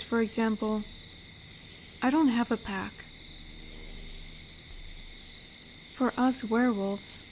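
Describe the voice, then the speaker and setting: A woman voicing an amiable, protective, lonely female werewolf in an intimate audio roleplay, speaking soothingly and reflectively with pauses. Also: a little high, a little mellow, a little hiss or hum. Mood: wistful, vulnerable. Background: night forest ambience with crickets.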